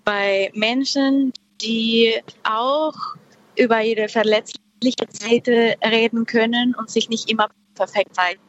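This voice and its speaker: A woman's voice: on the high side, steady tone, a little hiss or hum. Thoughtful young woman. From Peru. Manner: reflective, slow, hesitant with many fillers. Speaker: interviewee. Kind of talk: casual conversation. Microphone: video call. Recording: dull lo-fi video call audio.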